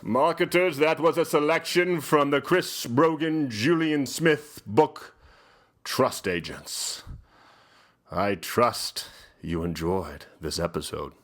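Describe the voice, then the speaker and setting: Slow, pompous theatrical gentleman host. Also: mid-range voice, lively intonation. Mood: grand, fond. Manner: pompous, drawn-out delivery with dramatic pauses. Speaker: host giving dramatic book readings. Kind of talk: mock-solemn dramatic reading.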